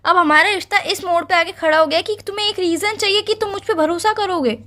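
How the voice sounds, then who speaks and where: A woman acts out an accused young girlfriend in a phone-call breakup drama. Her voice is extremely high and she talks fairly fast. She is defensive and earnest, pleading insistently to be believed. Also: pushed and bright. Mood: pleading, hurt.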